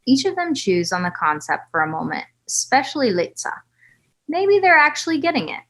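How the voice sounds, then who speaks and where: A woman's voice: sits high, big pitch swings, a little fast, fairly bright tone. A woman narrating stage directions in a scripted style for a comedy table read.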